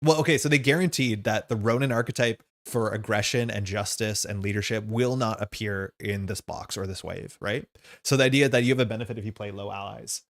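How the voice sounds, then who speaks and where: A man's voice: on the low side, fairly quick, fairly bright tone, no echo. A man giving casual live stream commentary as he plays a card game, rambling and thinking aloud. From Canada.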